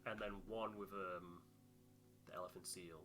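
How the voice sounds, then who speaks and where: A man's voice: low voice, steady tone, moderate pace, sharp tone, soft background music. A man hosting a game playthrough with casual commentary into a close microphone. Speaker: game player and host.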